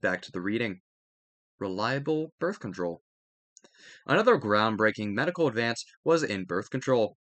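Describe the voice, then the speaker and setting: Man reading aloud with very lively pitch. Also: normal speed, dry recording. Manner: stumbles over words and corrects himself. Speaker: reader and host of study videos. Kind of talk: reading a textbook aloud with added comments.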